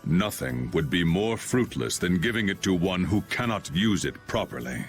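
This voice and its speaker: Aloof swordsman: very low, solemn, measured, commanding formality for a fantasy game. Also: lively intonation, faint music behind. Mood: stern, solemn. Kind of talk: anime-style game voice acting.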